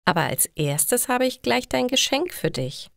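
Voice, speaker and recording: Lady doing a sweet cheerful wife in a storybook, coaxing, swingy pitch, slow careful words. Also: sing-song, vocal fry, no room sound, studio quality, exaggerated voice. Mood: affectionate, coaxing.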